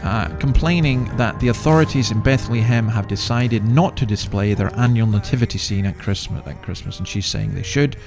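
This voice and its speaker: Man, deepish creaky voice, informal podcast host, rambling conversational delivery, music underneath. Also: brisk, hardly any pauses.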